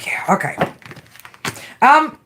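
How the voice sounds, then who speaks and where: A woman's voice: takes it slowly, fairly bright tone, slight background noise. Chatty Australian woman reading tarot. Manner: chatty, playful, slangy, reads chat comments aloud. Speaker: tarot reader. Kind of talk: casual live-stream chat and reading.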